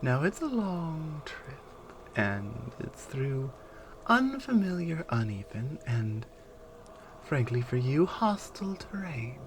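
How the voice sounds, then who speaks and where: A woman playing a stern, proud Amazonian warrior with a captive, in a taunting, deliberate ASMR roleplay. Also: rumbling low, big pitch swings, slight background noise, full character voice. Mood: commanding. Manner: taunting, deliberate, hushed at times.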